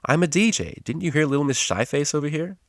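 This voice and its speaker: A man's voice: a little high, animated, fast, no room sound, studio quality, voice pushed up. A man voicing a brash, hot-tempered young female unicorn DJ with a snarky, slangy manner in a storybook.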